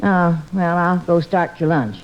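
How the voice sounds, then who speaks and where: A woman's voice: on the low side, fairly even intonation, unhurried, slight background noise, lightly acted. A woman playing a patient, slightly puzzled homemaker in an old-time radio family comedy, obliging but mildly exasperated. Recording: muffled old radio recording.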